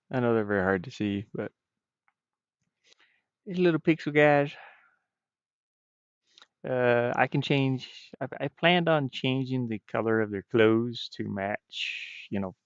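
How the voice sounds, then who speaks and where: Male indie developer streamer, low and slow-paced, casual and hesitant, trailing off; dull, close stream audio. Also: smooth, no echo. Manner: hesitant, halting, frequently trailing off. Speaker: game developer livestreaming.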